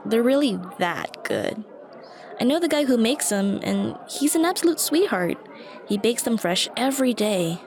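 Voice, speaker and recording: A woman's voice: a little high, a little mellow. A woman playing a shy, dorky young stranger in an ASMR roleplay, talking to the listener in a hesitant, self-conscious, rambling way. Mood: friendly, timid. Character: shy, dorky young woman, a friendly coffee shop regular. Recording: busy cafe chatter behind the voice. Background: coffee shop crowd ambience.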